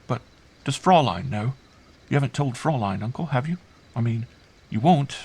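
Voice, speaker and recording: A man's voice: medium pitch, lively intonation, normal speed, husky, voice pushed up. A man voicing a bewildered, earnest young girl in an audiobook, trusting and fragile, speaking simply. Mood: bewildered, anxious. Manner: hushed, simple, earnest delivery. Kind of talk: audiobook character voice.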